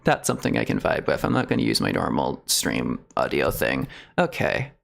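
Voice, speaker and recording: A man chatting casually on a livestream in a halting, rambling, giggly way. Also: extremely low pitch, wildly swooping pitch, gravelly. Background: video game music.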